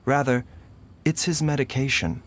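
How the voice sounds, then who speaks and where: A man voicing a composed, learned physician in a video game, speaking in a measured, formal, grave manner. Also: medium pitch, steady tone, a little hiss or hum, close to an everyday voice. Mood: grave, explanatory. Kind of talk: video game character dialogue. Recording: faint music behind the voice.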